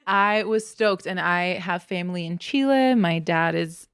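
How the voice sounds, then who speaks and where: A woman with a lowish voice tells a story on a casual podcast. She talks in an animated way, full of filler words. The sound is dry and close. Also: unhurried, clean tone, dry recording. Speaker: podcast guest. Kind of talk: casual chat.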